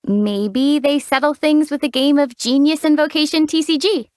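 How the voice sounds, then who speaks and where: A woman voicing a young, level-headed traveler heroine in a video game, answering in a calm, gently wry way. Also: high voice, moderate pace, background noise, natural voice. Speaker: game protagonist. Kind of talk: video game character dialogue. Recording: dry, close studio voice.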